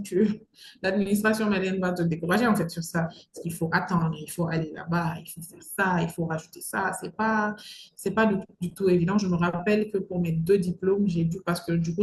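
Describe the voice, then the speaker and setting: Casting: young woman, emphatic conversational interviewee, roomy echo and faint noise behind. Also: lowish voice. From Mali. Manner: emphatic, repeats phrases for stress. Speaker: entrepreneur interview guest. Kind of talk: conversational advice-giving interview talk.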